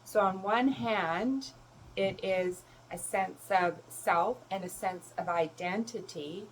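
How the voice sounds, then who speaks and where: A woman whose was German, speaking as a self-love coach in a gentle, reflective talk. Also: mid-range voice, takes it slowly, slight background noise, rough recording. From Canada. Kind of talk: reflective solo talk to camera.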